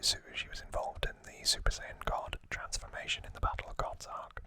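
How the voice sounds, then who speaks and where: A man hosting an unscripted ASMR unboxing in a breathy whisper, speaking in short, halting phrases with pauses. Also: sharp tone. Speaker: ASMR video host.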